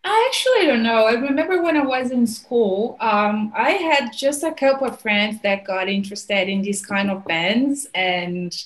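A young woman with a Brazilian accent, speaking English as a second language in a relaxed, casual conversation, pausing to search for words. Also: on the high side, rough recording. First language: Portuguese. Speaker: guest.

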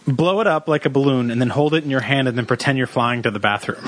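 A man's voice: mid-range voice, quick pace, fairly bright tone, dry recording. A man co-hosting a podcast, trading crude, profane jokes in casual comedic banter among friends. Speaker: podcast co-host.

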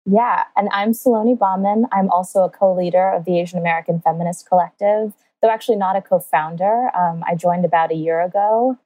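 A woman guest, an activist group co-leader from India who grew up in Los Angeles, speaking in a relaxed, thoughtful way with some filler ums. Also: fairly low pitch, a little fast, few pauses, no room sound, low-quality recording. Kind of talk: casual interview chat.

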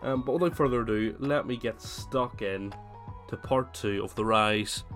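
Man, young adult, with a cold, low-ish voice, faint music behind; chatty gaming podcast host reading his essay. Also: moderate pace. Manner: chatty and self-deprecating, then steady read narration. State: has a cold, voice slightly worn.

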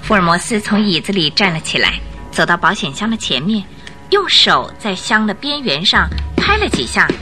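一位女旁白以富有戏剧性的方式讲述广播剧故事。. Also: female voice, medium pitch, big pitch swings, moderate pace, sharp tone, soft background music. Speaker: narrator. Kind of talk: dramatic scripted storytelling narration.

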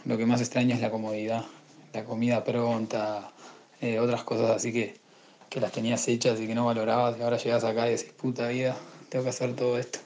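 Uruguayan man, low voice, flat delivery, matter-of-fact. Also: fast. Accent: Uruguayan Spanish. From Uruguay, from the interior.

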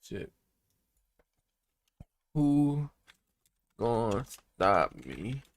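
A man's voice: mid-range voice, very animated, very slow. A man co-hosting a casual podcast who reacts to clips with bursts of laughter and exclamations. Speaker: podcast co-host. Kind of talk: casual chat.

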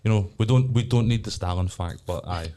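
A man's voice: lowish voice, a little fast, warm tone. A Scottish man hosting a podcast, chatting loosely with lots of ums and restarts. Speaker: podcast host. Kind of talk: casual chat.

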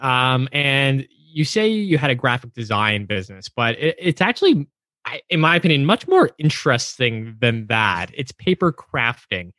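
A man's voice: medium pitch, fairly bright tone, no echo. A man hosting a podcast, asking questions in a friendly, curious way with some stumbles. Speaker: podcast host. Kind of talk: podcast interview.

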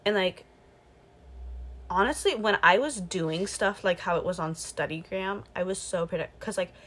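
A woman's voice: lowish voice, normal speed, a bit bright. A young woman chatting casually as a co-host in an informal, slangy way. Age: young adult. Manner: informal, slangy, mild swearing. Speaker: podcast co-host. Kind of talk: casual chat.

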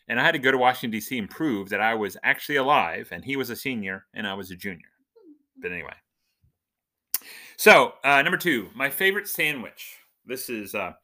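A middle-aged man hosting a casual podcast, chatting and telling stories with animated, emphatic build-ups. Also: lowish voice. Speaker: podcast host.

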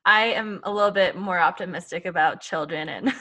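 Bright-voiced earnest Canadian woman. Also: on the low side, a little fast. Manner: earnest, thoughtful, uses "like" often. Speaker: podcast co-host. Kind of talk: casual conversation.

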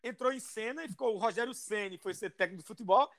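A man's voice: sits high, quick pace, crowd noise. A man with a cold, co-hosting a casual joking chat in a teasing, playful way. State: has a cold. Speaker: co-host.

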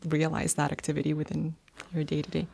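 Low, gravelly-voiced woman interviewer. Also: completely flat delivery, fast. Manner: warm, encouraging, laughs often. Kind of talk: casual conversational interview.

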